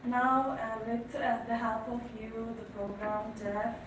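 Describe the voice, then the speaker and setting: Reflective woman, high and unhurried; distant mic with background noise. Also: steady tone, heavy reverb. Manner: soft, reflective, slow. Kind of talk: group report-out to colleagues.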